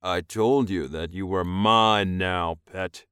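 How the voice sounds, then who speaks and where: A man's voice: deep voice, steady tone, bright, forceful tone, clear, resonant tone, no echo, clean studio sound, exaggerated voice. A man voicing a smug, domineering alien male with a growling, commanding delivery in an audiobook story. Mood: commanding, possessive. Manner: commanding, amused, deliberate.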